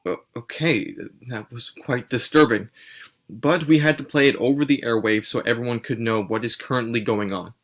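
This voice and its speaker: A man's voice: medium pitch, normal speed, fairly bright tone. A man playing a shaken local TV news anchor, hesitant and uneasy as he reports in a fictional disaster broadcast.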